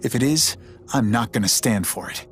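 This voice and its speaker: A man's voice: mid-range voice, expressive, normal speed, close to an everyday voice. A man playing a reckless, irreverent young orphan ranger in a video game, with a wry, sardonic manner. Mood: wry narration. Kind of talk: video game voice acting with narration. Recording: loud game music over voice.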